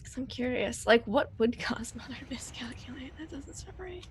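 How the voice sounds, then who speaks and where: A woman's voice: high-pitched, expressive, normal speed, slight background noise. A woman chatting casually as a player in a tabletop roleplaying game.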